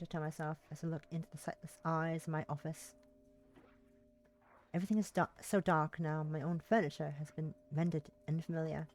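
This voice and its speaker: Low-pitched woman streaming a story game. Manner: stumbles over words and rereads them while reading aloud. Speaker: live streamer playing a game. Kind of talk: casual stream chat and reading visual novel text aloud.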